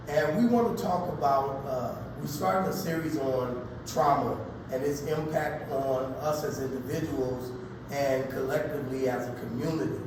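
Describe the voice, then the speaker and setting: A man hosting a panel discussion, asking questions in a measured, earnest way. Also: fairly high pitch. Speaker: host. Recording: echoey room, distant mic, background noise.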